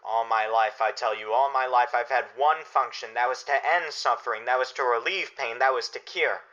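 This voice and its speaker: Radio play: stern doctor holding firm for a male actor, medium pitch, piercingly tense, muffled recording. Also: normal speed, sharp tone, lightly acted. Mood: anguished resolve. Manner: emphatic, unyielding. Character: stern, embattled male doctor refusing his neighbors. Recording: dull lo-fi audio.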